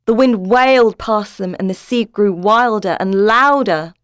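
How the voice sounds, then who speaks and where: A woman narrating a scripted story in a measured, literary and dramatic manner. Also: mid-range voice, clear, resonant tone, dry recording, studio quality.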